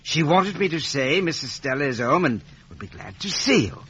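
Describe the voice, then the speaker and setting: An actor playing a humble, bashful working-class blacksmith in an old radio drama, halting and deferential. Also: male voice, fairly high pitch, sing-song, fairly bright tone, slightly rough, exaggerated voice. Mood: bashful, flustered. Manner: halting, deferential, rustic speech. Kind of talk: 1950 radio drama acting. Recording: muffled vintage radio broadcast recording.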